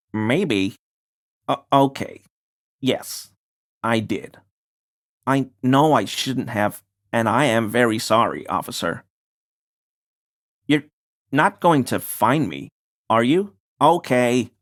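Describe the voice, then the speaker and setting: A man's voice: medium pitch, animated, takes it slowly, pauses often, no room sound, clean studio sound. An actor playing a paranoid, furtive deliveryman in a video game, conspiratorial and evasive, deferential yet smug. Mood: defensive, apologetic. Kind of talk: video game character dialogue.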